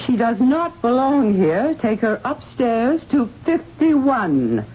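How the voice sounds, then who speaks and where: An actress playing a stern, domineering senior nurse in a 1970s radio drama, speaking in a clipped, formal, commanding way. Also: female voice, on the high side, big pitch swings, slow. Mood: cold, commanding. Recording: dull lo-fi radio broadcast, noisy.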